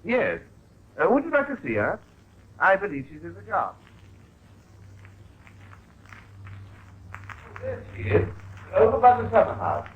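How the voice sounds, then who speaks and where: An actor playing an earnest, worried Victorian physician, speaking with urgent emphasis in 1950s radio drama style. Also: male voice, sits high, huge pitch swings, fairly quick, a little hiss or hum. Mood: polite. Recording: muffled old radio recording.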